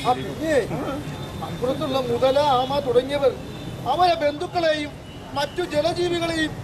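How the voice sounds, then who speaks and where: Man playing a crafty old crane, high and mournful, street show with loud crowd and traffic. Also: little pitch movement, a little slow. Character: scheming old male crane feigning grief. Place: outdoor street puppet show.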